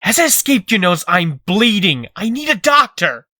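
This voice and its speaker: Casting: male actor as gutless smooth-talking rogue, whiny, very animated pitch, sharp tone, close dry sound. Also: moderate pace, dry recording, heavily acted. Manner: whiny, pleading, glib. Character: whiny, cowardly young male rascal, fast-talking trickster. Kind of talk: dramatic comic-dub voice acting.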